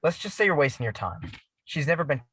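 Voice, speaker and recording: An actor at a table read playing a reserved, protective teenage boy who speaks in a low-key, hesitant way. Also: very animated, fast, a bit bright, husky, heavily acted.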